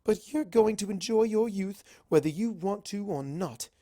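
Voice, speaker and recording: A man's voice: sits high, lively intonation, moderate pace, mellow, voice pushed up. A man voicing a refined, teasing young female pony with a theatrical, prim manner in a fan fiction reading.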